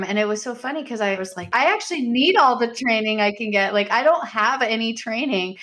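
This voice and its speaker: An animated woman director talks as a podcast guest at a middle pitch. She is effusive and pokes fun at herself. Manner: effusive, animated, self-deprecating. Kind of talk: casual interview.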